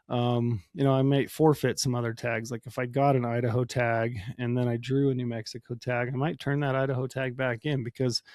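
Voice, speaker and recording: A man with a hoarse voice from a cold, hosting a solo podcast and explaining things in a casual, rambling way with many fillers. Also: mid-range voice, a little mellow, no echo. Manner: casual, explanatory, many ums and you-knows. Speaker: podcast host.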